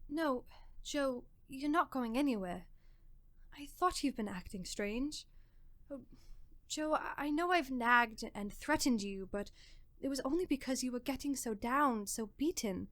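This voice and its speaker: A woman's voice: high-pitched, expressive, a little slow, a bit bright, dry recording. A woman playing an anxious, loving young wife in a radio drama, pleading and concerned. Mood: pleading, tender.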